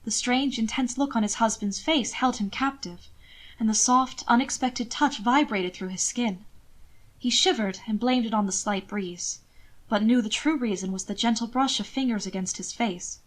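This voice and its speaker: A woman's voice: a little high, moderate pace. A woman reading scripted romantic fiction expressively, as an audiobook narrator.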